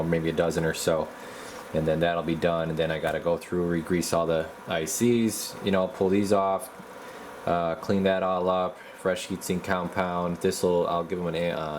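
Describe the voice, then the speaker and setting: A man giving a casual, hands-on repair explanation as a video host, in a halting, folksy way, as if thinking aloud. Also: sits low, a little hiss or hum.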